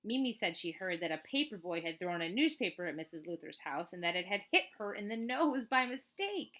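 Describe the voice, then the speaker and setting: A woman reading a children's book aloud as a teacher, in an expressive, chatty way with casual asides. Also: lowish voice, a little fast. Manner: expressive, chatty, emphatic on list items.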